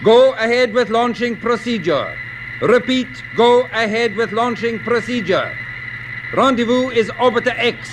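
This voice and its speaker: Wary space officer, high voice, dutiful, formal, vintage radio play, clearly noisy recording. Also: male voice, expressive, a little slow. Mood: crisp, dutiful. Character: cautious, dutiful spaceship second-in-command, doubting his leader. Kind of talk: 1950s radio drama acting.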